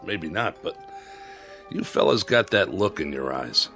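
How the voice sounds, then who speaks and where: Radio play diner owner: folksy, nosy and chatty, bright forward voice, very animated delivery. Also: male voice, low voice, a little slow, faint music behind, exaggerated voice. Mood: curious, probing. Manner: folksy, inquisitive, plain-spoken. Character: chatty, curious male owner of a roadside diner. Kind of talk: radio drama acting.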